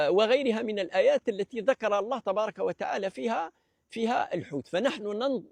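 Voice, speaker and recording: A man's voice: high voice, creaky, a little mellow, no echo. A man from Algeria giving an unscripted lecture, explanatory and hesitant, with many fillers and restarts. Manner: measured, explanatory. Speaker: presenter of a language talk series.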